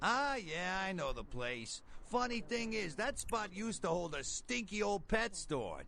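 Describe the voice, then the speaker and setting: A man's voice: high-pitched, unhurried, gravelly, crowd noise, full character voice. An actor playing a seedy, talkative man in a video game, trading local gossip in folksy slang. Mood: gossipy, musing. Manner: folksy slangy talk, lively swoops. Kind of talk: video game character dialogue.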